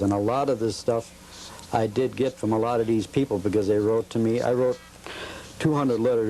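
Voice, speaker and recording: Relaxed, halting man interviewed at home. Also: fairly low pitch, a little hiss or hum, low-quality recording. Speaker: interview guest, collector. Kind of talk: casual interview answers.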